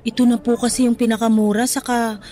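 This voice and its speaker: Woman acting young mother, high voice, polite, defensive, pleading, radio drama. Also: little pitch movement, fast talker, mellow, lightly acted. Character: young new mother, patient and hurt. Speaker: actor.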